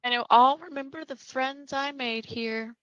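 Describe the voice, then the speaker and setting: A woman acting as a playful young patient with a fairly high voice. She needles people in a mock-sincere, sing-song way, as in a read-through of a drama. The sound is close and dry. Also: a little slow, clear, resonant tone, dry recording, highs cut off. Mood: playful teasing. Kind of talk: amateur screenplay table read.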